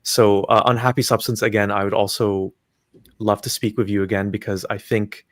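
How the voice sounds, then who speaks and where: Guy from palestine running a live call-in, lowish voice, dry close sound, lots of uhs. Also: little pitch movement, moderate pace, no echo. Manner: friendly, hesitant, many fillers and restarts. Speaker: host of a live call-in stream. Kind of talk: casual live-stream chat with callers.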